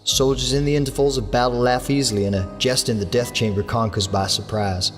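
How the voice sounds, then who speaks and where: An English man reading a horror story aloud as an audiobook narrator, in a measured storytelling manner. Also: steady tone. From England. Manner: measured storytelling, lifts his pitch for characters' lines. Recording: long hall reverb with soft music under.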